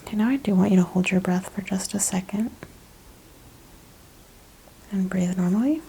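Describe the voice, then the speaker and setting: A woman speaking softly, often in a breathy whisper, gently and carefully explaining each step as a chiropractor in an ASMR exam roleplay. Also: mid-range voice, normal speed, rough recording. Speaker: ASMR host playing a chiropractor examining a patient.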